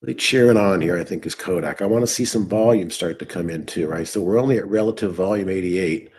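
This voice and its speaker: Low raspy guy doing live trading commentary, kinda hoarse, muttering through his screen as he goes. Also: fast talker, darkish tone. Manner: thinking aloud while working on screen. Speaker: trading show host.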